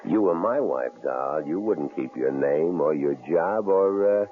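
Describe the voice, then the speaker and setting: An actor playing a menacing, sneering male stranger in an old radio drama, with a gravelly, mocking drawl. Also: extremely low pitch, lively intonation, a little slow. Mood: taunting. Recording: tinny old radio broadcast, faint hiss.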